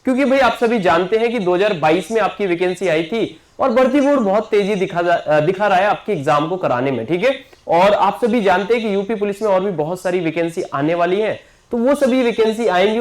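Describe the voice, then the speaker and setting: A man speaks as an exam coaching teacher addressing his students. He repeats key points and keeps checking in with his listeners. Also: sits high. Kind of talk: promotional class announcement.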